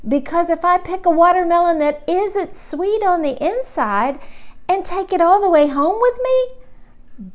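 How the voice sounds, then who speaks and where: A curious small boy from a children's storybook, as a woman reads him, speaks in a high voice. He takes things literally and puzzles over them slowly, with childlike deliberation. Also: a little mellow. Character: curious, literal-minded small boy narrating about his great-uncle.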